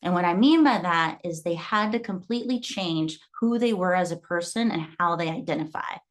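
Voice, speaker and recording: A woman's voice: lowish voice, normal speed. Earnest woman from Guatemala coaching fitness. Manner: earnest, storytelling. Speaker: fitness coach and gym owner. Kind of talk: motivational talk.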